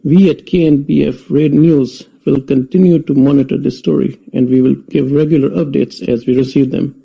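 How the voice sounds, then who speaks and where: Man acting as a gravelly, mellow news anchor. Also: mid-range voice, fairly even intonation, normal speed, poor audio. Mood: serious. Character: grave male TV news anchor reporting an emergency. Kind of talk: scripted news reading.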